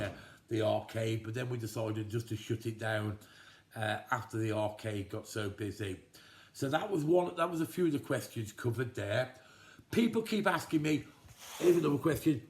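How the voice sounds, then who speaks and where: A man in his fifties from Telford talks casually to the camera in his home kitchen, answering questions his viewers sent in. He rambles and restarts often, in a voice of middling pitch. The hard kitchen walls give the sound a clear echo. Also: darkish tone. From England, Telford. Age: 50s. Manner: rambling and chatty, with frequent restarts. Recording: echoey kitchen.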